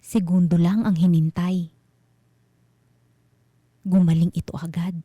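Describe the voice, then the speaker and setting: Slow, measured Filipino woman storyteller. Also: on the low side, flat delivery, dark tone, no room sound, clean studio sound. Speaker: host and storyteller. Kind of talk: scripted narration of mystery stories.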